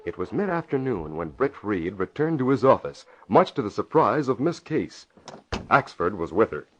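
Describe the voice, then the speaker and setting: A man's voice: lowish voice, very animated, moderate pace, a little hiss or hum. A man narrating an old-time radio drama as the story's announcer. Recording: muffled vintage radio recording.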